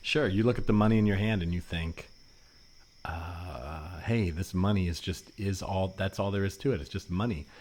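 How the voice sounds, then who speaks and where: Low-voiced, rambling conspiracy guy, played by a man. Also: darkish tone. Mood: earnest, conspiratorial. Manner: halting, rambling, earnest explaining. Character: rambling male conspiracy-minded former anarchist, earnest and suspicious. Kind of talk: improvised comedy podcast dialogue.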